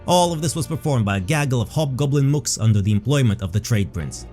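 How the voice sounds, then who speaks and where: Gentle music sits behind a man narrating a fantasy tale. His voice is mid-pitched, and he reads the script in a measured, dramatic storyteller's manner. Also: expressive.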